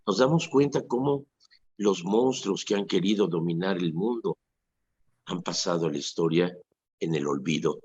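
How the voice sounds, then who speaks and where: Deep, husky Mexican priest on radio. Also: male voice, moderate pace, darkish tone. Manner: earnest preaching with vivid quoted asides. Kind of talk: radio preaching reflection. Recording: dull lo-fi radio recording.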